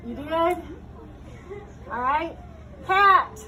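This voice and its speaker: A woman's voice: very high-pitched, animated, very slow, bright, forceful tone. A woman yoga teacher leading a children's class, giving short step-by-step cues and checking in with questions. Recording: distant mic, clearly noisy room.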